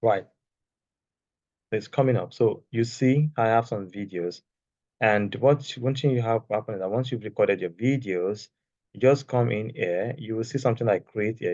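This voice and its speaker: A man with a soft, lowish voice walks students through a screen-share tutorial, talking loosely and often checking in with "okay?" His voice comes through a dry, slightly dull external mic with no room sound. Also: no room sound. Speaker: course creation instructor. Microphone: external microphone.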